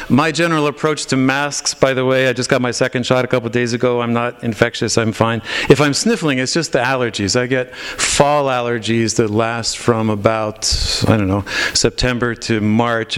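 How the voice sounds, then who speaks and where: Older man, smooth voice in church reverb. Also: mid-range voice. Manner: relaxed, self-deprecating, easy humor. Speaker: retired pastor, guest preacher. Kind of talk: casual greeting then leading worship. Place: church sanctuary. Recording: long reverb in a church hall.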